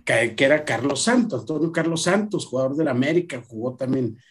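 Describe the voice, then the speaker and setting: A man's voice: medium pitch, fairly quick. A man, a professor chatting in an anecdotal way with frequent fillers. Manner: lively, anecdotal, many fillers. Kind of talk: conversational discussion.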